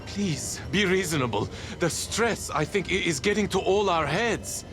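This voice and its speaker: Scared crewman, sci-fi horror game. High voice, halting, placating, music underneath. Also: expressive. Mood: panicked pleading. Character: frightened, pleading male spaceship crewman.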